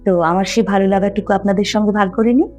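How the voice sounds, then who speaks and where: A woman storyteller reading a short story aloud in an expressive narrating style. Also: mid-range voice, fast talker. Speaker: storyteller, reader. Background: faint music.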